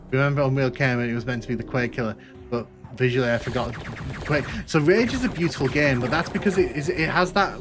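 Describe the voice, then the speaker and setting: Northern English man, Manchester accent, relaxed gaming streamer talking over clear game music, trails off and restarts his sentences. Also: medium pitch. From Northern England. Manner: rambling, self-correcting, chatty. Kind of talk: casual live-stream chat while playing.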